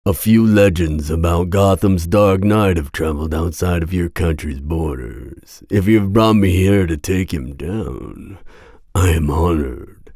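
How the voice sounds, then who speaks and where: A man playing a masked villain mercenary in an ASMR roleplay, speaking in a formal, deliberate, seductive and menacing way. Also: deep voice, mellow, dry recording, clean studio sound, full character voice. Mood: courteous, confident. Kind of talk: ASMR roleplay audio drama.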